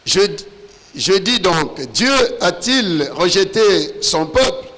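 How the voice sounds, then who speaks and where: A man with a Congolese accent preaching emphatically in French, in short declamatory phrases with pauses for an interpreter. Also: high voice, lively intonation, sharp tone. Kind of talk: emphatic call-and-response sermon. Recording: echoey large hall with long reverb.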